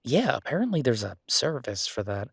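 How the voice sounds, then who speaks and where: A man's voice: medium pitch, very animated, moderate pace, gravelly, slightly rough, no room sound, clean studio sound, exaggerated voice. A man playing a caring, easygoing boyfriend in a roleplay audio drama, speaking casually and reassuringly, with small hesitations. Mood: casual explaining. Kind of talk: roleplay audio drama, one-sided intimate conversation.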